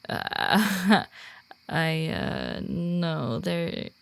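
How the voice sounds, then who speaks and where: Woman acting as shy werewolf girl in an audio roleplay, really gravelly fry, lowish voice, soft and kinda awkward. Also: animated, heavily acted. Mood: flustered, awkward. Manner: hesitant, halting, awkward when personal. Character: shy, earnest born werewolf woman guiding a newcomer. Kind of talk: roleplay audio drama, softly spoken to the listener.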